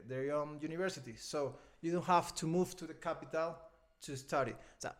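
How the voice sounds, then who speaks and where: A Venezuelan man in his thirties, a native Spanish speaker, talking hesitantly in an interview and often pausing to find his words. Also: on the high side. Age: 30s. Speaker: interview guest, film editor. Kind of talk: casual interview conversation.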